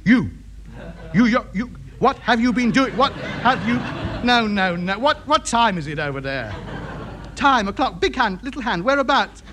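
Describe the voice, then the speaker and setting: Self-important English radio hobbyist, high and very animated, theatrical sitcom delivery on a noisy old recording. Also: male voice. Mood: effortful, coaxing. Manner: theatrical, slowly over-enunciating or grandly musing. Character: exasperated, self-important amateur radio enthusiast in London. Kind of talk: broadcast sitcom acting.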